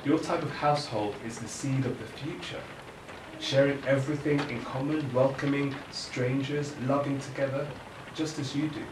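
A man plays an earnest father given to reflective speeches in an audio drama. His medium-pitched voice sits away from the microphone in a roomy space. Clear background noise surrounds him. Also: fairly even intonation, normal speed, lightly acted. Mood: fervent hope. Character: earnest father and official facing climate upheaval, anxious yet hopeful. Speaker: actor in an audio play. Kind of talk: naturalistic audio drama monologue. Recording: roomy, distant, noisy room recording.

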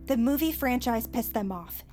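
A woman playing a nerdy, earnest high school superfan in an audio drama, explaining things at length. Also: lively intonation, moderate pace, dark tone, faint music behind, natural voice.

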